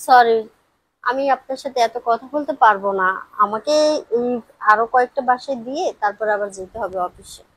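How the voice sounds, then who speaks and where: A woman acting as a hurried, indignant delivery courier who insists and protests, in an amateur audio drama. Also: fairly high pitch, a bit bright, no room sound, poor audio. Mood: flustered, impatient. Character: young female parcel courier, hurried, indignant, standing her ground.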